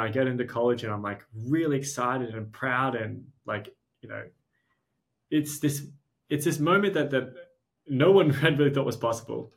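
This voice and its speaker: Young adult Australian man, podcast guest, relaxed storyteller, mid-range voice. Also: mid-range voice. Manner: self-deprecating, frequent restarts and "like". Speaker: podcast guest, startup founder. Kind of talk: casual interview storytelling.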